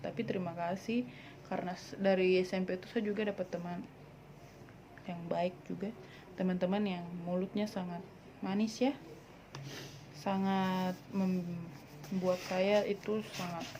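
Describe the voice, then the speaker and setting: A woman's voice: lowish voice, creaky, background noise. A woman in her twenties with a Papuan Indonesian accent, answering viewer questions in a blunt, teasing vlog and dropping in English words. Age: 20s. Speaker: vlogger. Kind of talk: casual Q&A vlog.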